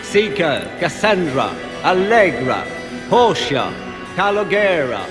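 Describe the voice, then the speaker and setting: A pompous fantasy game court herald with a high male voice. He proclaims titles grandly and ceremonially, with clear music behind him. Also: sing-song, takes it slowly.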